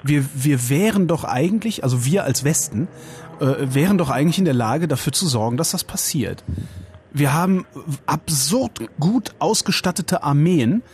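A man's voice: a little high, expressive, normal speed, darkish tone, slight background noise. A man hosting a late-night radio call-in show, musing aloud and throwing out provocative, opinionated questions. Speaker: radio host.

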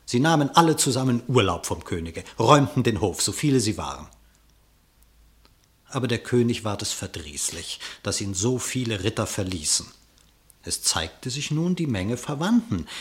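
A man narrating a literary radio play in verse, with measured, dramatic storytelling. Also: fairly low pitch.

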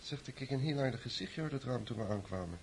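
An actor playing a cynical, flippant young aristocratic rake in a radio drama, with faint noise on the recording. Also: male voice, mid-range voice, expressive, fast talker, natural voice. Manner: mocking, flippant.

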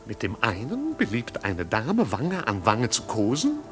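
A man's voice: lowish voice, huge pitch swings, unhurried, a bit bright, heavily acted. A devoted, fussy manservant from a 1950s radio play. His phrasing is pompous and stiffly formal, carried on swooping intonation, with music behind. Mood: gravely pompous. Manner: stilted, formal, long-winded phrasing.

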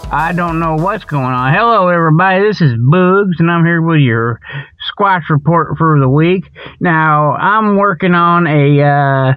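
A man acts out a folksy comic radio host with a high-pitched voice. He delivers a mock report in loose, colloquial talk, circling back and repeating himself. The sound is dry and slightly dull, like lo-fi radio. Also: measured pace, smooth, no room sound, faint music behind, close to an everyday voice. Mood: rambling, amused. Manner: rambling, repetitive, chuckles at own jokes. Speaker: comedy radio show host. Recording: dull lo-fi audio.

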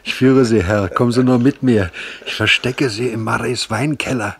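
A wily servant who fancies himself a sea rogue. His voice is mid-pitched, his manner eager, folksy and conspiratorial. It is theatrical 1970s radio drama. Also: steady tone, normal speed, slightly rough. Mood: sly, eager. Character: sly, eager servant sailor longing to be pirate.

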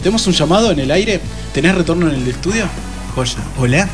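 Animated Argentine male radio host, high-pitched. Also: a little fast. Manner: jokey, chatty, informal. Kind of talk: casual live radio chat with callers. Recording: radio talk with music underneath. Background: music.

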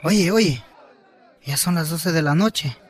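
A man playing an excitable, indignant townsman, urgent and complaining, in a radio drama. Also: on the high side, takes it slowly.

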